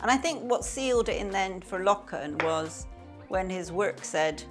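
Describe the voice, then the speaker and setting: A middle-aged woman chats with her son while they cook. She tells family stories in a lively, chatty way and acts out the voices of the people in them. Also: medium pitch, a little slow, over loud music. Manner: warm, chatty storyteller, acts out quotes. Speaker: vlogger's mother, guest. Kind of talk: casual chat while cooking.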